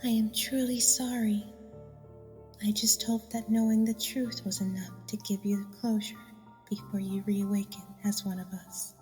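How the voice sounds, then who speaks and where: A woman playing a sorrowful undead young filly in a fan fiction audio drama, solemn and hushed. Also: mid-range voice, little pitch movement, normal speed, close to an everyday voice. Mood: solemn regret. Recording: long cavernous reverb, music underneath.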